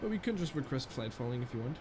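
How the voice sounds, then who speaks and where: A man's voice: medium pitch, big pitch swings, racing, background noise. A man live-streaming a flight simulator, chatting casually with viewers and rambling through fillers and restarts.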